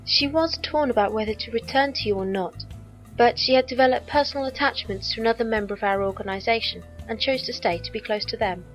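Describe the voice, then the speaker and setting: An actress acts out a loyal squire in a space audio drama. She speaks in a fairly high voice with formal, exact phrasing and calm composure, faint music underneath. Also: quick pace, natural voice, poor audio. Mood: composed, formal. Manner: formal, precise, stilted wording.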